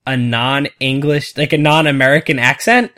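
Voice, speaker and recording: A woman's voice: rumbling low, fairly even intonation, moderate pace, fairly bright tone. A woman co-hosting a casual podcast, gushing and rambling as she chats. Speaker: podcast co-host. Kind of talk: casual chat.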